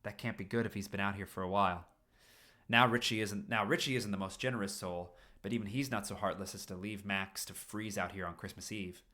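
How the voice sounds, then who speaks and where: A man's voice: fairly low pitch, fairly even intonation, a little fast, bright, forceful tone. A man reading a story aloud expressively on a stream, now and then tripping over a phrase and repeating it. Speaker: fan fiction reader on a livestream.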